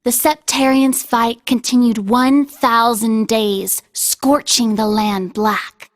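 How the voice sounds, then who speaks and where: Female game narrator, higher voice, very slow and grave, telling old myths with solemn weight. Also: fairly even intonation. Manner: solemn, measured storytelling. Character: solemn woman recounting an ancient legend. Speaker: storyteller in a video game cutscene. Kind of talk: scripted narration of a legend.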